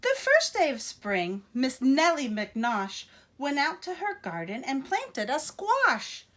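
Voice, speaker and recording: A woman reading a picture book aloud to children in an expressive, teacherly way, with asides to young listeners. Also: high-pitched, slow pace.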